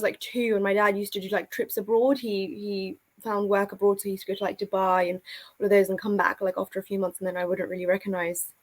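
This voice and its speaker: A woman with a London accent, a podcast guest talking candidly and conversationally about personal experience, often saying like and you know. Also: medium pitch. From UK, born in India to an Afghan family, moved at three. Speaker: podcast guest, author and speaker. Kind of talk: candid personal storytelling in an interview.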